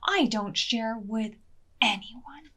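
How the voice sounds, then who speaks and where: A storybook goblin king, acted out by a woman, big, greedy and angry. He speaks loudly and slowly, throwing his weight around like an imperious bully. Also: fairly high pitch, slightly rough, exaggerated voice. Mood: furious, greedy. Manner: loud, imperious, domineering. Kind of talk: storybook character voice.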